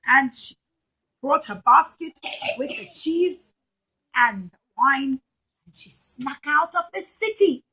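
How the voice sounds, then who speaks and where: A woman with a high voice tells a story to young children as part of a puppet show. She speaks in simple words with dramatic emphasis, addressing the children directly. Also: expressive, takes it slowly, pauses often, sharp tone, low-quality recording.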